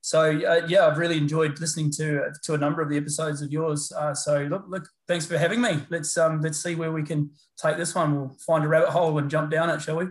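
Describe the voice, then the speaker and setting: A middle-aged man with a New Zealand accent, chatting as an interview guest in a relaxed, rambling way full of fillers and restarts. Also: on the high side, brisk, hardly any pauses. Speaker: guest, paramedicine lecturer. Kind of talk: casual interview chat. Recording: roomy echo.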